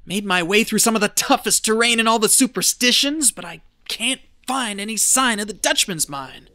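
An actor playing an exhausted, rambling treasure hunter losing his wits, folksy and breathy, muttering to himself in an audio drama. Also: sits high, expressive, sharp tone, no echo. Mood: frustrated. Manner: folksy, muttering to himself, slow halting delivery.

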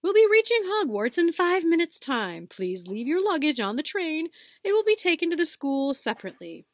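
A woman's voice: very high voice, very animated, normal speed, dry recording, clean studio sound, pitched above the performer's own voice, full character voice. A woman voicing a train conductor making a formal announcement.

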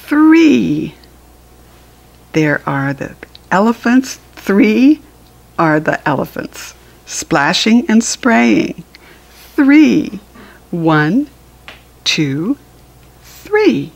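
Slow, husky American woman reading to children. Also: mid-range voice, very animated. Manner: encouraging, sing-song, deliberate enunciation for children. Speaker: children's book reader and teacher. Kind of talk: read-aloud counting lesson for young children.

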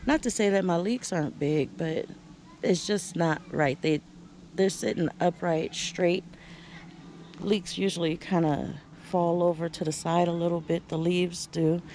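A woman strolls through her backyard garden and chats about her plants in an unhurried, relaxed way. Her voice is on the lower side and mellow, picked up by the camera she carries outdoors, with a little faint noise around it. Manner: chatty and relaxed, many fillers and restarts. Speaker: home gardener giving a garden tour. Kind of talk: casual walking garden tour.

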